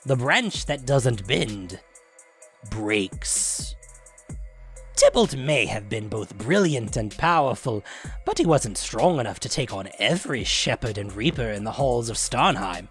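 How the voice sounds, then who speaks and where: A gleefully wicked devil storyteller, played by a man, recounts a fantasy saga over music. The delivery swoops widely, sly and grandiose, lingering on choice words with theatrical pauses. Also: mid-range voice. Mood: sly, mock-sympathetic.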